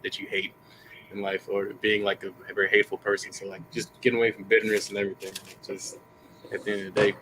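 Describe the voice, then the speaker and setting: A man hosting a livestream panel, talking in a rambling, animated way and swearing casually. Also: lowish voice, fast, a little hiss or hum, poor audio. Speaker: livestream host.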